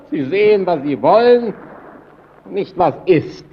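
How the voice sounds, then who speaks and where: A man's voice: high voice, big pitch swings, slow pace, exaggerated voice. An actor playing a haunted young male technician in a German radio play, terse and evasive but defiant. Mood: defensive. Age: young adult. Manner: guarded, terse, brushing off his tormentor. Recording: dull, noisy old radio play recording.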